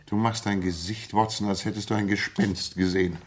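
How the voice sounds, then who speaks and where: An actor playing a cool, witty, self-assured master detective in a radio drama, urbane and dryly nonchalant. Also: male voice, fairly low pitch, fairly even intonation, fairly quick, slightly rough.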